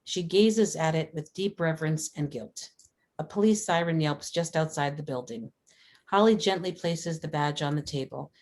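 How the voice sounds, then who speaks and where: A woman reading scripted stage directions as a narrator, in a measured, descriptive manner. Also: deep voice, a little mellow.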